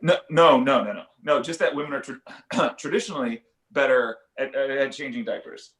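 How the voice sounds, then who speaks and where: A man playing an awkward young father and amateur comedian in an animated comedy table read, hesitant and stammering. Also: mid-range voice, normal speed, close to an everyday voice. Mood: nervous. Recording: roomy echo.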